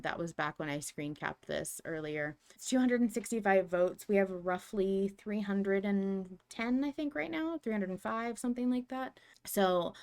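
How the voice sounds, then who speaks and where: A woman's voice: medium pitch, clear, resonant tone. A young adult woman co-hosting a casual podcast, chatty and self-interrupting, restarting her sentences and laughing often, talking into a desk mic at home. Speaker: podcast co-host.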